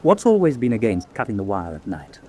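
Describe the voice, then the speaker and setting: Clever pow plotter, lowish animated voice, sure of himself, slight background noise, radio play. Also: male voice, fairly quick. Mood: sly, confident. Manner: explanatory, self-assured. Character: shrewd, confident POW plotting an escape. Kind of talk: vintage-style war radio drama acting.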